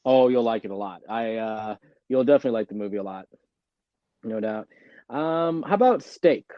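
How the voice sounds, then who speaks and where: A man's voice: medium pitch, animated, moderate pace, no echo, dull audio. A man hosting a casual interview, giving brief prompts and short reactions. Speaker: podcast host, interviewer. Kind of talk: casual word-association interview.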